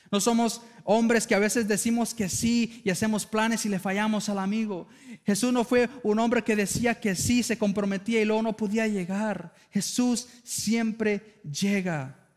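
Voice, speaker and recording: Man, Argentine accent, pastor, earnest sermon, high voice, long church-hall reverb. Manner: earnest preaching, calls the congregation for amens. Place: church sanctuary.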